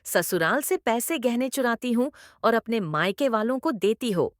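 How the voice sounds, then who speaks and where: A woman's voice: on the high side, animated, moderate pace, dry recording, clean studio sound, natural voice. A woman voicing a greedy, domineering middle-aged mother-in-law in a cartoon moral story, with an emphatic, theatrical delivery. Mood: accusing, scolding.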